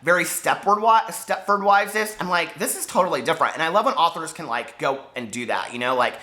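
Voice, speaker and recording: A man's voice: a little high, fast talker, sharp tone. An American man chatting casually to camera like a book vlogger, gushing and confiding, wandering off on tangents. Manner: gushing, confiding, digressive, emphatic.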